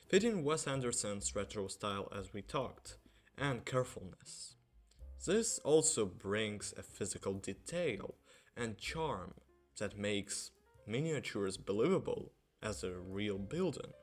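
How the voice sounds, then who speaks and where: Low-pitched man narrating over guitar music. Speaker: video essay narrator. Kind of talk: scripted narration. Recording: music under the voice.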